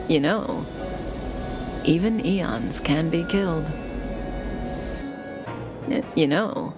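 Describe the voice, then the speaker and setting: An actress playing a cool, enigmatic, teasing female schemer in a video game, with a languid, softly sardonic delivery and a velvety voice. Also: deep voice, vocal fry, full character voice. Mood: coolly teasing. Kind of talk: scripted video game character dialogue. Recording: studio voice over game music.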